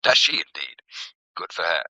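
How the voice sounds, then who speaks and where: Breezy young English gentleman, sharp bright tone, animated, flippant, radio drama. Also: low-pitched, normal speed, husky, dry recording, exaggerated voice. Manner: flippant, self-deprecating, upper-class. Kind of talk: period radio drama acting.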